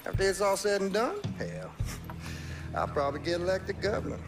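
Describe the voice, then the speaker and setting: Game villain: cruel Southern lawman, taunting drawl, animated high-set voice, heavy score behind. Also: a little fast. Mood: gloating menace. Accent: American South. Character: cruel, smug racist Southern sheriff. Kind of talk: video game cutscene acting. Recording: loud music under the voice.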